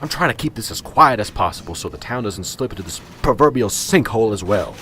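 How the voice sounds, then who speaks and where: A man acts out a gruff, harried police sergeant in a homemade radio play. His delivery is animated and blustery, full of exasperated ranting and folksy oaths. There is some noise behind him. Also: on the low side, fast. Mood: irritated ranting. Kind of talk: radio drama acting.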